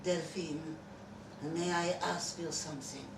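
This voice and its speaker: A woman reading a story aloud as a courteous, sentimental Frenchman in his fifties, halting and earnest. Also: low-pitched, little pitch movement. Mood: tentative. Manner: halting, earnest, self-conscious. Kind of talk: live short story reading. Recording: echoey venue, far from mic, noisy.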